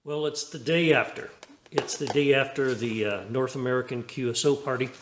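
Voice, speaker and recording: A man with a medium-pitched voice chats informally to viewers about his hobby. He speaks in a halting, down-to-earth way, with an encouraging tone, like an amateur vlogger sharing what he knows. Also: fairly bright tone, slight background noise. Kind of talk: casual vlog chat, sharing lessons learned.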